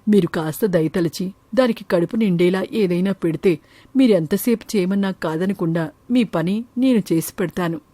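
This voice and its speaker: A woman voicing a poor, gentle, humble farmer father in an animated moral story. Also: fairly low pitch, normal speed, no room sound, lightly acted. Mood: pleading.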